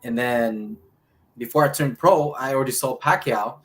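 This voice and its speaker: A man with a Filipino accent giving casual interview answers as a boxer, speaking haltingly with pauses mid-sentence. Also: medium pitch, slight background noise. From Philippines. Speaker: professional boxer, interview guest. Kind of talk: casual interview answers, recounting his life.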